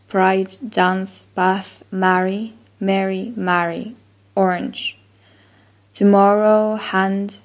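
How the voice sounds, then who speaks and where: Chilean woman, spanish is her first language, slow and gravelly, kinda unsure, lots of ums. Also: medium pitch, a little mellow, low-quality recording. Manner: unsure, trailing off with fillers. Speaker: accent-tag vlogger. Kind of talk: casual reading of a word list.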